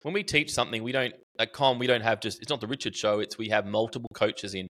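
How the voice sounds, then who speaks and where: A middle-aged Australian man in casual conversation, his voice sitting on the lower side. He talks with animation, tells stories and often restarts his sentences. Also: quick pace. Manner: animated, storytelling with restarts and fillers. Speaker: podcast guest, marketing educator. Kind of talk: casual interview chat.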